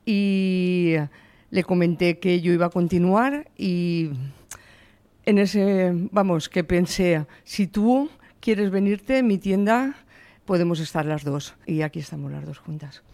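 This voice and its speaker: A woman's voice: fairly low pitch, slow, warm tone, dry recording. A woman in her sixties from the Valencia region, a shop owner answering interview questions in an earnest, emphatic way. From Valencia region, Spain. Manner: earnest, emphatic, quotes her own words.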